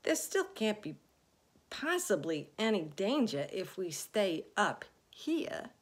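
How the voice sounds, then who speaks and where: A woman's voice: mid-range voice, big pitch swings, takes it slowly, slightly rough, heavily acted. Woman playing a brash, boastful centipede. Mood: blustering.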